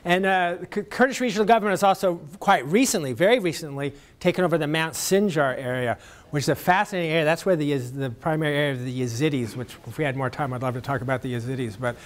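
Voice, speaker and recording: A man's voice: on the high side, quick pace. A man giving a public talk answers audience questions in a conversational way, with many fillers and restarts. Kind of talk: lecture Q&A.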